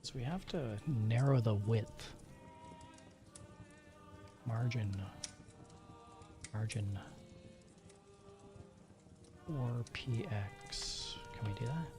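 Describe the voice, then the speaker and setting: A man's voice: mid-range voice, drawn-out words, long pauses. A man streaming casually, muttering to himself and often dropping to a half-whisper as he works through settings. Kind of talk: casual livestream chat while troubleshooting settings. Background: music.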